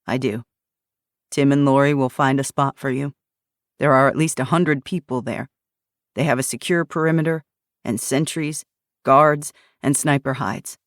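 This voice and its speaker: A woman reading a tense, protective man's dialogue with grave, measured urgency. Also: very deep voice, fairly even intonation, no room sound, studio quality. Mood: firm. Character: tense protective man, grim and guarded.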